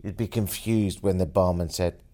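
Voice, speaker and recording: A man's voice: deep voice, steady tone, normal speed, mellow. A man in casual conversation, teasing and wry, painting pictures for his listener. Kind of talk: casual chat.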